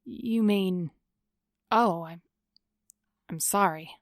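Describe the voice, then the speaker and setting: A woman's voice: medium pitch, very slow, dry recording, studio quality. An actress playing a tough, sardonic woman vigilante in an audio drama, with a cool, commanding manner. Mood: probing.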